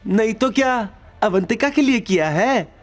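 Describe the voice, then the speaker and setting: A man's voice: high voice, expressive, a little slow, faint music behind, pitched above the performer's own voice. A man voicing a spiteful, gloating young ex-wife in a mocking, theatrical manner for an audio drama. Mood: indignant shouting.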